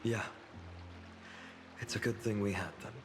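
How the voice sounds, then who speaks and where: A man's voice: fairly low pitch, steady tone, unhurried, natural voice. A man playing an earnest, self-doubting young fantasy hero in a video game, reflective and self-deprecating in his talk. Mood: troubled, confiding. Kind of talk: video game voice acting, dramatic dialogue. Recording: long reverb, music behind.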